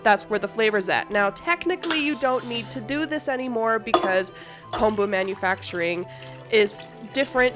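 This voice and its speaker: Chatty woman with a congested voice. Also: medium pitch. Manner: chatty, relaxed, talks while cooking. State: congested from allergies. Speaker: cooking channel host. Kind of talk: casual cooking vlog narration.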